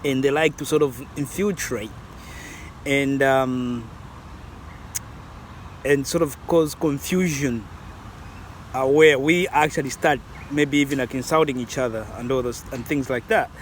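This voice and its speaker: A man's voice: mid-range voice, a little slow, long pauses, slight background noise. A man, talking casually and reflectively in a vlog, rambling with many restarts. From Zambia. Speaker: vlogger.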